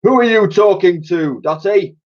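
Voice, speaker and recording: Man putting on a loud pompous security chief. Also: expressive, normal speed. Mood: suspicious. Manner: pompous, booming, bossy. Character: pompous, booming male museum head of security. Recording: dull lo-fi recording.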